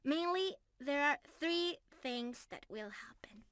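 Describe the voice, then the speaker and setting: Woman playing a high, bright, shy young mermaid. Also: lively intonation, slow pace, dry recording, clean studio sound, heavily acted. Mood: gentle, explaining. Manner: earnest, bashful, explaining gently to one listener. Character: shy, sweet young female mermaid in love. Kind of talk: ASMR romance roleplay, intimate one-sided conversation.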